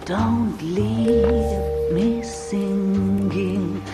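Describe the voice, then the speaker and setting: A woman's voice: mid-range voice, steady tone, extremely slow. A woman playing a heartbroken, sultry nightclub singer, singing a torch song in a video game cutscene. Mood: aching, pleading. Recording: loud backing music.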